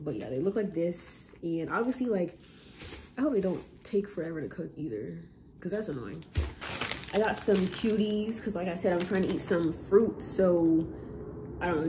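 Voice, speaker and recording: A young woman shows her groceries to a camera in her home kitchen, rambling in slangy, offhand chatter. Her voice sits low and mellow, not bright. A faint hum of room noise runs underneath. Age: young adult. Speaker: vlogger. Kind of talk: casual grocery haul vlog.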